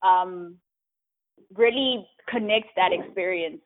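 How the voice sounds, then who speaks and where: A woman from Africa, speaking as an interview guest in a thoughtful, casual way, often pausing on "um" and checking in with "right?". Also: fairly low pitch. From South Africa. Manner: thoughtful, many "um"s and "right?" tags. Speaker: guest, tech community co-founder. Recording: tinny phone-like line.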